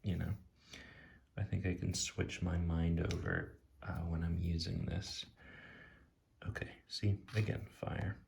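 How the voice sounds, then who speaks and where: A man talking in a soft ASMR style as he reviews cards off the cuff, musing and hesitant, often drifting into a near-whisper. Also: low voice, flat delivery, unhurried, vocal fry. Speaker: tarot deck reviewer. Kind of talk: soft-spoken ASMR, impromptu commentary. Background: soft tapping and clicking.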